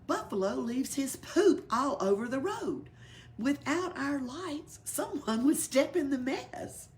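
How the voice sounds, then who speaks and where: A woman's voice: high voice, expressive, slow, a little mellow, pitched above the performer's own voice. A woman voicing small, earnest fireflies in a storybook, moving from whispery to emphatic.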